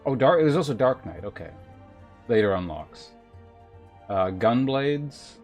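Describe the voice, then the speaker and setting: This is a man hosting a casual game stream, with music faintly playing behind him. He talks at a middling pitch and rambles as he thinks out loud. His delivery moves between chat and reading aloud, with wry asides along the way. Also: expressive, a little slow.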